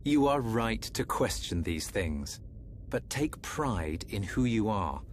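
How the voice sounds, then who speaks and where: Solemn royal counsellor. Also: male voice, fairly low pitch, big pitch swings, slow pace, slight background noise. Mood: solemn, encouraging. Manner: formal, grave. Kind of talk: dramatic video game voice acting.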